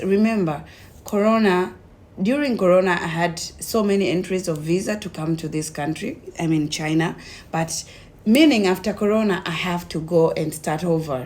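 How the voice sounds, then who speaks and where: A young woman with a Tanzanian accent tells her own story casually, in an animated way, acting out conversations as she goes. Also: fairly low pitch. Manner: animated retelling, acts out quoted conversations.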